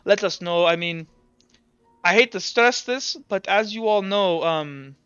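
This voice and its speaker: A man voicing an evasive, reassuring doctor in a tabletop game, speaking haltingly. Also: high-pitched, a bit bright, clean tone, dry recording, dull audio.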